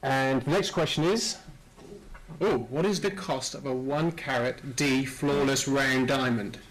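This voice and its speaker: A man's voice: mid-range voice, unhurried, fairly bright tone, rough recording. Hesitant male conference host. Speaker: conference host introducing speakers. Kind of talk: informal event hosting.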